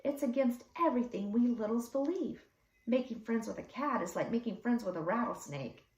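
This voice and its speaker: A woman's voice: on the high side, animated, normal speed, a little mellow, reverberant, poor audio. A woman voicing a grumpy, scornful older uncle, a tiny man in a children's storybook, with a blustering, dismissive manner. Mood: stubborn, grumpy.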